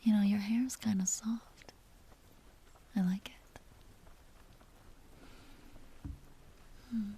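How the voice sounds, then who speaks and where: A woman playing a seductive, possessive vampire duchess in an intimate audio roleplay, teasing and genteel in a breathy whisper. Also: mid-range voice, fairly even intonation, dark tone, full character voice. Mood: tender, soft. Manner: slow, teasing, genteel and commanding.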